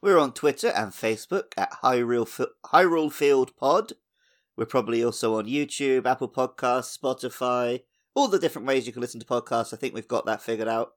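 A man's voice: medium pitch, a little fast. Male podcast co-host, thirties, mid-range voice, informal gaming chat, playful delivery with mock dialogue. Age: 30s.

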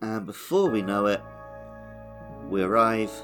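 A man with a fairly low voice banters casually as a podcast co-host. He has a playful, joking manner, and music runs faintly underneath. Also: expressive, unhurried, a bit bright.

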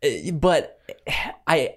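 A man co-hosting a podcast, chatting casually about films in a halting way, often repeating the starts of words. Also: fairly high pitch, very animated, fairly bright tone. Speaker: podcast co-host. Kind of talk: casual film discussion.

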